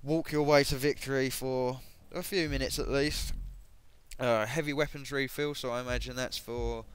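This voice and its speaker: A man giving casual, hesitant commentary on game equipment, his voice a bit croaky, with many ums and self-corrections. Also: medium pitch, fairly even intonation, moderate pace. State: voice going croaky. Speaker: gaming video creator.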